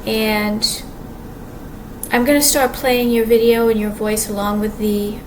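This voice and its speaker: Woman, soft quiet voice, slightly high, halting casual vlog talk; audible background ambience. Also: flat delivery. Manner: rambling, halting, many restarts and fillers. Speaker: vlogger. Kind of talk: casual monologue to camera. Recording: clear background ambience noise.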